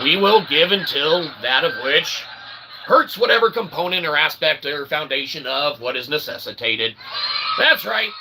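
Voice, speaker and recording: Male comic web host: high-ish voice, big pitch swings, halting mock-grand monologue, noisy homemade audio. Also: gravelly. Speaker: host of a comedic internet show. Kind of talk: rambling tongue-in-cheek monologue.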